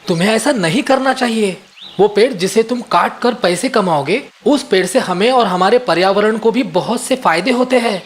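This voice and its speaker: A man voicing a sensible, contented farmer and concerned friend who reasons gently in a children's moral story. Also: high-pitched, normal speed, lightly acted. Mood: advising. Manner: reasoning, caring, advising.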